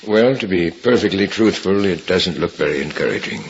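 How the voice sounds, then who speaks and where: Radio drama casting: frank middle-aged male doctor, low measured voice with lively inflection, on a muffled old recording. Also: a little hiss or hum. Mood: grave, careful. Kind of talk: 1950s radio drama acting.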